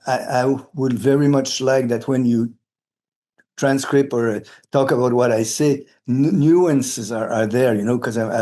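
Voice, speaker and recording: A man in his late sixties from Quebec, a native French speaker with a Quebec French accent, giving a reflective, halting and earnest interview. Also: mid-range voice, warm tone. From Quebec, Canada, brought up partly in Cameroon and Senegal. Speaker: interviewee, retired philosophy of education academic.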